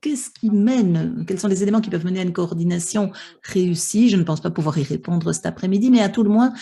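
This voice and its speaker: A woman's voice: fairly low pitch, quick pace, vocal fry, mellow, slightly rough. A woman interpreting a conference panel live, with many hesitations and restarts. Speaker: simultaneous interpreter for an online panel.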